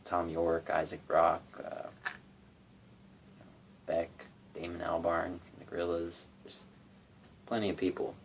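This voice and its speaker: Young man, very low voice, casual rambling, bedroom phone recording, faint hiss. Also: monotone, takes it slowly, vocal fry, pauses often. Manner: rambling, many fillers and restarts. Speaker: YouTube cover singer and guitarist. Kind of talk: casual rambling vlog talk. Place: his bedroom.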